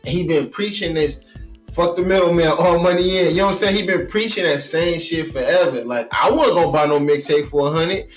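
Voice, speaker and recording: A man's voice: fairly high pitch, a little fast. A man co-hosting a podcast in casual, opinionated, slangy chat, often repeating his phrases. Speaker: podcast co-host. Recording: roomy, faint music underneath.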